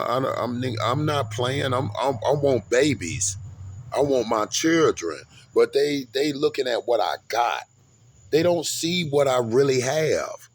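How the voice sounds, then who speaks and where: A man's voice: medium pitch, no echo. A man in his forties talking in a rambling, grandiose monologue, often repeating and restarting his words. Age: 40s. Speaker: vlogger.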